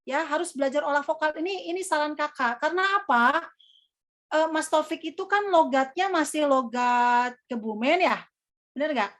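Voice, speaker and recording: A woman's voice: high voice, normal speed, fairly bright tone. A young woman teaching a workshop for hosts, chatting casually and filling gaps with "eh" and "apa". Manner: chatty, calls herself Kakak, many fillers. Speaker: MC trainer.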